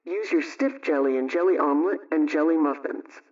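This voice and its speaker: High-voiced man on a distant old-style radio. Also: lo-fi sound. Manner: instructional, measured recipe delivery. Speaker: radio homemaking show host. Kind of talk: scripted reading of a vintage radio homemaking broadcast.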